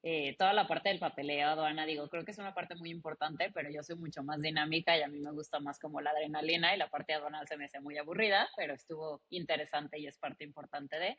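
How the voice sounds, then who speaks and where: Woman, Mexican accent, lowish pitch, animated casual storytelling, chatty interview guest. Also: fast, few pauses, husky. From León, Guanajuato, Mexico. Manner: animated, chatty, quotes dialogue as she tells anecdotes. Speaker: interview guest, logistics company founder and CEO.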